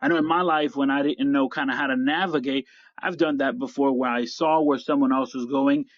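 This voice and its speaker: A middle-aged American man hosting a motivational podcast, speaking in deliberate, emphatic phrases with repetition. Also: medium pitch, fairly even intonation, no echo. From upstate New York, United States. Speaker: podcast host. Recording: slightly dull, dry lo-fi audio.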